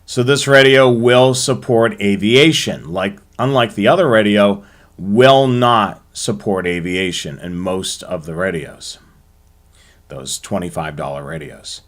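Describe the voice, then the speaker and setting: An American man hosting a hobby show, presenting to viewers in a halting way with frequent ums and uhs. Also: lowish voice, fairly bright tone.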